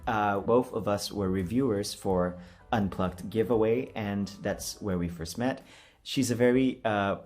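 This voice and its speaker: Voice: medium pitch, darkish tone. A vlogger chatting casually in a self-deprecating, hesitant, giggly manner. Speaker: vlogger doing a voice acting challenge. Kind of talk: casual, chatty vlog talk.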